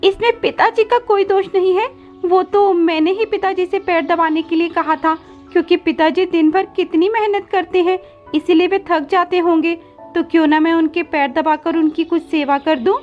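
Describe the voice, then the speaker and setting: A woman plays a meek little girl bird in a cartoon fable. The voice is very high, pleading and timid, a tearful, obedient stepdaughter. Music plays under slightly dull audio. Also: fairly even intonation, normal speed. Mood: earnest, placating. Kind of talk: cartoon moral story voice acting.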